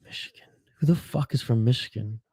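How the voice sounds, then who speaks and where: A man's voice: medium pitch, fairly quick, darkish tone, breathy edge, no echo. A young Canadian man hosting a live hockey watch-along, chatting casually, reading viewer comments aloud and swearing freely. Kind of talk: casual live-stream chat.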